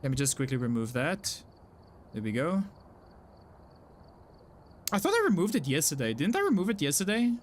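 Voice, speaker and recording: Man, South African, Afrikaans English accent, young adult, casual streamer chat, repeats himself, slightly high voice, faint hiss. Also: very animated, moderate pace, pauses often. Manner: chatty, apologetic, frequent self-repeats and "like" fillers. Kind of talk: casual live-stream chat reading chat messages.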